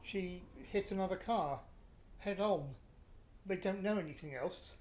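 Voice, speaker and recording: A man's voice: sits high, unhurried, a little mellow. Man acting exasperated, dry-humoured British father. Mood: worried, shaken. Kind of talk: naturalistic radio play acting.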